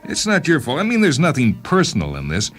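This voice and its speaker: An aggrieved man in his thirties, acted by a male storyteller, raised in pitch. He sounds exasperated and keeps justifying himself, as in a dramatic short story reading. Music sits underneath. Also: wildly swooping pitch, a little fast. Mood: agitated, aggrieved. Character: aggrieved, unhappy 32-year-old man confronting his father. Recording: music bed behind the voice.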